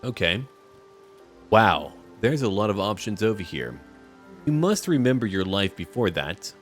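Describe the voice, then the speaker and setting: A man's voice: on the low side, sing-song, measured pace, faint music behind. A man doing casual video game commentary, thinking aloud and talking to his viewers.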